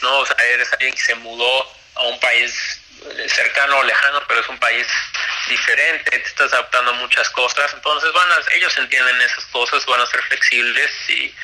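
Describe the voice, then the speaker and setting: A man's voice: mid-range voice, sharp tone, poor audio. A young man talks casually as an interview guest about college tennis, with many fillers and restarts.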